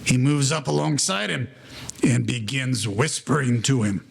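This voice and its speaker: A man's voice: mid-range voice, unhurried, creaky. A man playing the wry storyteller of a 1940s-style gangster audio play, narrating in mannered, formal slang. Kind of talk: scripted period story narration.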